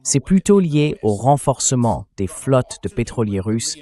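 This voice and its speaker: A man's synthetic AI dubbing voice giving measured, analytical political commentary in a translated interview. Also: mid-range voice, very animated, warm tone.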